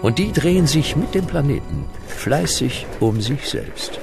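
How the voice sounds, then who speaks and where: An actor playing a solemn yet tongue-in-cheek male narrator in a comic radio play, measured and theatrical. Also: a little high, sing-song, gravelly, music in the background, heavily acted. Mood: solemn, wry. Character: wry, grandly solemn male storyteller of creation.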